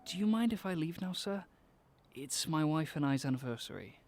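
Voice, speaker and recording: An actor playing an eager, deferential research associate in a 1920s radio drama, polite and a little hesitant. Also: male voice, on the high side, animated, moderate pace, faint music behind. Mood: sheepish.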